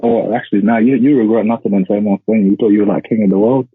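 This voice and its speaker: Guy in his 20s from india calling in, low voice, dry tinny line, rambles with "like" a lot. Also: steady tone, rapid-fire, slightly rough, no echo. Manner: rambling, many "like" fillers, self-deprecating. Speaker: podcast guest, law graduate. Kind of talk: casual chat over a call. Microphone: calling in remotely. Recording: tinny, phone-like call audio.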